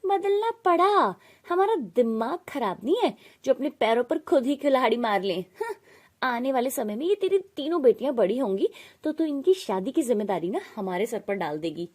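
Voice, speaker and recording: A woman's voice: high voice, big pitch swings, moderate pace, darkish tone, voice pushed up. A woman voicing a cruel, quarrelsome aunt in a family story, scornful, snapping and complaining. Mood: harsh, scornful. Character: cruel, quarrelsome aunt, sharp-tongued young wife.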